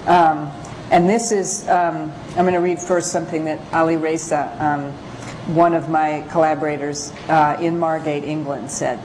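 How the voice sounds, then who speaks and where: Woman, low voice, presenting as a photographer-lecturer; hesitant, pause-filled, informal delivery over noticeable background noise. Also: level tone, a little mellow, poor audio. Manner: halting, hesitant, pausing often. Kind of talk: informal slide lecture with read-out excerpts.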